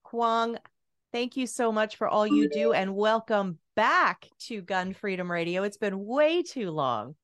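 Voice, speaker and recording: A woman's voice: medium pitch, lively intonation, measured pace, no echo. A woman hosting a talk radio show, upbeat and chatty, with some fillers as she introduces the guest. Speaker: podcast host.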